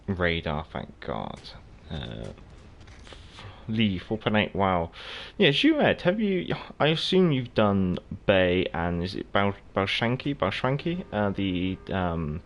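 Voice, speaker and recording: Low-voiced south african guy streaming a game, chatty, answering his chat by name. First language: Afrikaans. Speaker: gaming livestream host. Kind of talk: casual livestream commentary while playing, answering chat.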